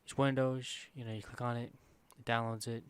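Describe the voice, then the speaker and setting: A man with a quiet voice walking through a screen-recorded software tutorial in a casual, rambling way, full of fillers and self-corrections. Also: medium pitch, level tone, a little fast, smooth. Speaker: streamer giving a software tutorial.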